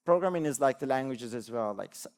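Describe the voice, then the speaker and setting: A man from Turkey, Turkish being his native tongue, speaks to a conference audience of developers. His voice sits in an ordinary middle pitch, and his delivery is earnest and encouraging. He often restarts words and leans on "like" as a filler. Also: lively intonation, fast, a little mellow. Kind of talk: conference talk.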